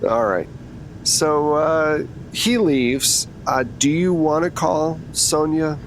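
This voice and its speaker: A man's voice: on the high side, fairly even intonation, slow pace, rough recording. Casual male game master, faint background noise. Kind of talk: casual tabletop game narration.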